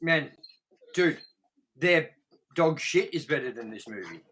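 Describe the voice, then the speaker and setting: Bright, higher-voiced man ranting and swearing. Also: lively intonation, unhurried. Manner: ranting, emphatic, swears heavily. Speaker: co-host of a movie review show. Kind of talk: casual, profane opinionated review chat.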